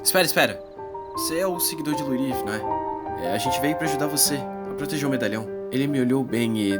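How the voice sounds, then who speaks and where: Casting: male actor as earnest young adventurer-narrator, suspenseful audiobook storytelling, lowish voice over heavy music. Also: close to an everyday voice. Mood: urgent, pleading, then wary, reflective. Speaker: narrator and protagonist. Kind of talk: scripted first-person audiobook narration. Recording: loud music bed under voice.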